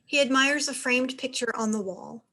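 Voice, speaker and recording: High-pitched woman narrating stage directions. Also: normal speed, bright, forceful tone. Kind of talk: scripted narration.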